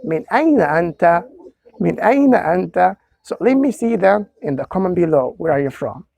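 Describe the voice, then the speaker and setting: A man, an Arabic speaker, teaching a beginner language lesson by deliberately repeating and translating short phrases. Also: high voice, big pitch swings, vocal fry, a little mellow, dry recording. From Egypt. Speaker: language tutor.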